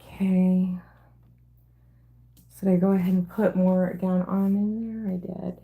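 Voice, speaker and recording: A middle-aged woman with a gravelly, slightly hoarse voice shows a craft project on a live stream. She talks slowly and rambles through each step. Her voice sits low and hardly rises or falls. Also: level tone, mellow. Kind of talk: casual live craft demonstration.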